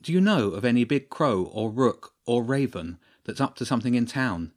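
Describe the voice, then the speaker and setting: A man's voice: medium pitch, animated, moderate pace, close to an everyday voice. A man voicing an alert, polite young girl in a storybook, speaking directly and earnestly.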